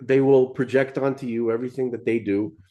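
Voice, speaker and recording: A man's voice: medium pitch, steady tone, brisk, lo-fi sound. A man in casual, opinionated podcast conversation, speaking bluntly and informally. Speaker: podcast co-host.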